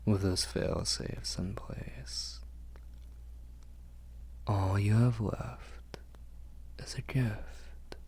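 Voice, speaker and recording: A man playing a protective, tender vampire lord in an intimate ASMR roleplay, whispering in a breathy, husky, reassuring way. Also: lowish voice, fairly even intonation, exaggerated voice. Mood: tender reassurance. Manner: slow, hushed, reassuring. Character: protective, tender male vampire lord soothing his human.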